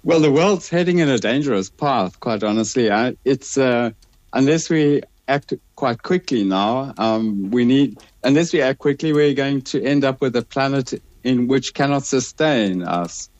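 A man, speaking as a professor on a radio show, measured and hesitant, his voice a little croaky from a cold. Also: mid-range voice, expressive, darkish tone, no room sound, lo-fi sound. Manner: measured, hesitant, many restarts. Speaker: professor, studio guest. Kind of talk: radio interview, explaining.

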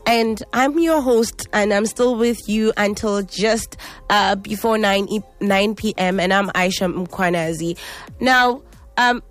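Woman radio host over faint music. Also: medium pitch. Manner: measured, scripted intro with fillers. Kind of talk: radio talk show hosting.

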